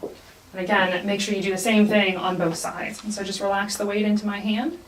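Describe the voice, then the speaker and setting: Female instructor, mid-range pitch, step-by-step demonstration, explanatory, roomy echo, slightly distant. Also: flat delivery, moderate pace, a little hiss or hum. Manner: explanatory, repeats key points. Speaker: clinical skills instructor. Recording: echoey room, somewhat distant mic.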